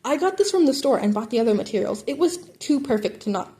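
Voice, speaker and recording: Excitable, sweet-natured boy who rambles, fairly high voice, for a story reading female narrator performing. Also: quick pace, lightly acted. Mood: excited, sweet. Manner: eager, rambling.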